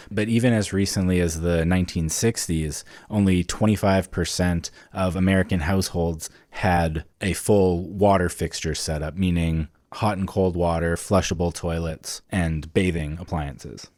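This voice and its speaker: A man's voice: sits low, a little mellow, no room sound, studio quality. A man co-hosting a podcast, explaining history in a casual, conversational and enthusiastic way. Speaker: podcast co-host.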